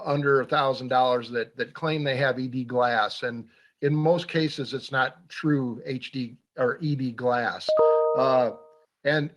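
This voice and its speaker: A man hosting a hunting talk show at an ordinary middle pitch. He talks casually and keeps stopping to restart his sentences, filling the gaps with "uh" and "you know.". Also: a bit bright. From Iowa. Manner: halting, many fillers and restarts. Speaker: radio show host.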